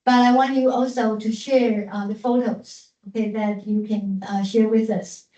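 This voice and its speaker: A woman's voice: a little high, steady tone, normal speed, mellow. A woman teacher giving classroom instructions with many fillers and repeated words. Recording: echoey classroom from far off, dull.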